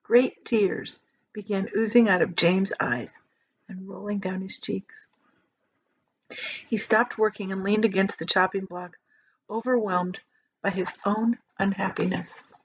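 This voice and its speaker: A woman reading a children's book aloud like a teacher, in an expressive storytelling style. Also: mid-range voice, a little slow, slightly rough. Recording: slightly dull, lo-fi sound.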